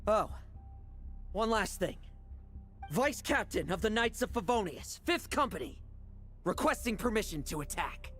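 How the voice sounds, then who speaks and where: A man's voice: high voice, very animated, a little slow, long pauses, fairly bright tone, exaggerated voice. A man playing a cool, brooding young knight vice captain in a video game, with a clipped, sardonic manner. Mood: composed, sardonic. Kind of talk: dramatic game voice acting. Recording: music behind the voice.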